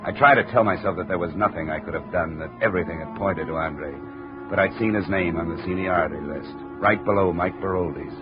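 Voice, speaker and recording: An actor as a deep-voiced hard-boiled investigator. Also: male voice, fast talker, lightly acted. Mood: regretful. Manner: clipped, terse hard-boiled narration. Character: hard-boiled insurance investigator narrating his case. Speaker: lead and narrator. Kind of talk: 1950 radio drama acting. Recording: muffled 1950 radio broadcast with music.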